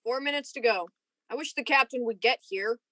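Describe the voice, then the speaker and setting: A woman's voice: fairly high pitch, brisk, fairly bright tone, close to an everyday voice. A woman playing an edgy, impatient young lieutenant in a science fiction radio play, with a casual, restless delivery. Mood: nervous, impatient.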